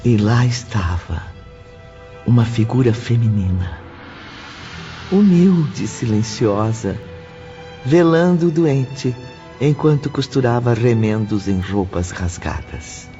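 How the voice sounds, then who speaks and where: A man narrating a scripted literary story in a measured, dramatic way. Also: medium pitch, expressive, warm tone. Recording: dull lo-fi audio, faint music.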